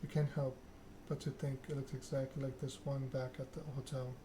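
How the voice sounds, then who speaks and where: Man reading softly in ASMR style, little inflection. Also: mid-range voice, flat delivery, fairly quick, background noise. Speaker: video game streamer reading a story game aloud. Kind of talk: ASMR narration, reading game text aloud.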